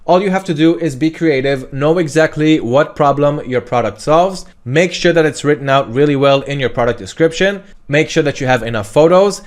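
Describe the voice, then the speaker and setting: A man's voice: on the high side, normal speed, hardly any pauses. A man instructing an online course with a screen-recorded tutorial, talking in an upbeat, direct way and often saying okay.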